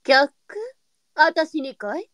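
A woman playing a shrewd, sharp-tongued, brisk brothel madam in a period audio drama. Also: sits high, no room sound, exaggerated voice. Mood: brusque, exasperated. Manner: blunt, switches to formal politeness with guests.

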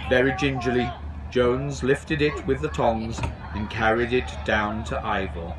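A man in his fifties reading a children's story aloud outdoors in a measured, expressive storytelling manner. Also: medium pitch, background noise. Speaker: storybook reader. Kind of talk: scripted children's story narration read aloud. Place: outdoors on a garden bench at a railway.

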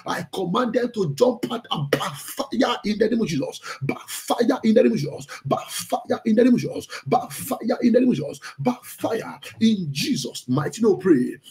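A man with a Nigerian accent, a pastor leading fervent Pentecostal prayer in forceful, repeated declarations with a strained, gravelly voice. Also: sits high, lively intonation, moderate pace, hardly any pauses. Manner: forceful, repetitive chanting declarations.

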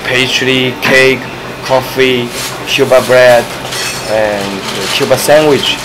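A man in his thirties, originally from China, answering interview questions as a bakery owner in halting, simple English full of "you know". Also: mid-range voice, steady tone. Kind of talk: casual interview. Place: small bakery cafe, indoors. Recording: noisy room with air conditioning hum.

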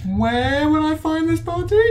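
A man's voice: very high voice, lively intonation, a little mellow, a little hiss or hum. A man playing a tabletop game, joking and playful. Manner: playful, joking, quick to laugh. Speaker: player.

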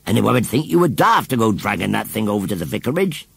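A man's voice: lowish voice, big pitch swings, fast talker, gravelly, slightly rough, no echo, heavily acted. A man voicing an angry, sarcastic farmer who scolds with rustic phrasing in a children's story.

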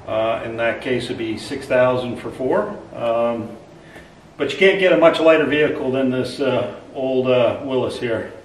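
A middle-aged man talking casually in a large pole barn workshop, relaxed and folksy with many filler sounds, picked up by a camera on a tripod. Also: medium pitch, a little slow, slight background noise, low-quality recording. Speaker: channel host reviewing a product. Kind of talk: casual how-to vlog narration.

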